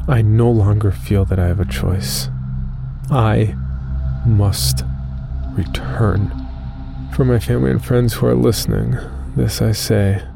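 A man playing a haunted, driven amateur caver, reading his journal in a solemn, deliberate horror narration. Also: lowish voice, vocal fry. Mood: grimly resolved. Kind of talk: dramatic first-person horror narration. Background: music under the voice.

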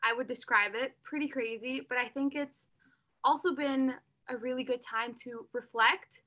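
A woman's voice: sits high, fairly even intonation, moderate pace, pushed and bright. A young woman singer-songwriter answering interview questions, earnest and open, often saying "like" and "you know". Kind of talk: interview conversation.

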